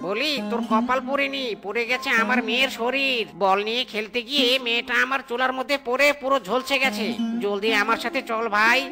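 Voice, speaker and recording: A woman's voice: a little high, steady tone, a little fast, music in the background, natural voice. A woman voicing a worried male monkey, a father in a comedy cartoon, speaking in an urgent, imploring way. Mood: worried pleading. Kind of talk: exaggerated Bengali comedy cartoon acting.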